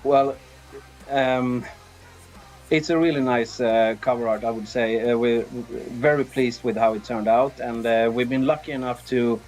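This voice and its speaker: A man with a Swedish accent talks casually as an interview guest. His pitch sits in the middle, and he often hesitates while he thinks. Faint guitar music plays behind him. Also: steady tone, a little slow, darkish tone. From Sweden. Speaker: band drummer, interview guest.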